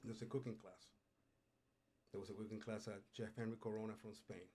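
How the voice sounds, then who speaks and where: Man, low voice, middle-aged, from Puerto Rico, easygoing storyteller with lots of "uh"s, studio guest. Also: brisk. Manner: relaxed storyteller with frequent hesitations. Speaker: culinary instructor. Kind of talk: casual interview. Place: radio studio.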